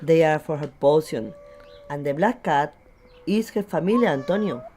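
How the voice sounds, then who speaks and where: A woman's voice: low voice, lively intonation, normal speed, warm tone, breathy edge. A woman playing an exasperated, lovelorn village woman in a comic radio play. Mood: matter-of-fact.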